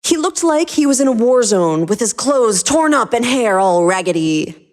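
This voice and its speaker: A woman's voice: a little high, very animated, normal speed, darkish tone. A woman narrator reading a scripted story.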